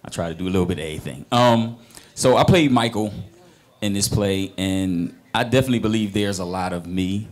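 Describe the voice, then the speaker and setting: Singer actor guy, low voice, easygoing, handheld mic. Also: brisk. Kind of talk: interview answers. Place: restaurant with wood-paneled walls.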